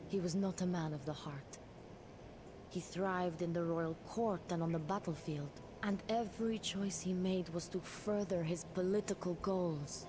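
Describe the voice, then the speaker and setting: A woman playing a young, pious medieval queen who narrates her life in a solemn, measured and wistful way for a historical game. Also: lowish voice, background noise. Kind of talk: scripted dramatic narration of a historical game campaign.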